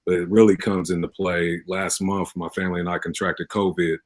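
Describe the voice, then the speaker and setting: A man talking earnestly as an interview guest, in measured, hesitant phrases. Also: sits low, flat delivery, dry recording. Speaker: interview guest, cast member. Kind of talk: earnest casual talk.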